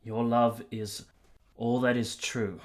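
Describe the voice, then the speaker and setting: A man's voice: medium pitch, level tone, slow pace, natural voice. A man playing an earnest, devoted, principled army captain in a period radio drama, speaking formally and sincerely. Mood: tender.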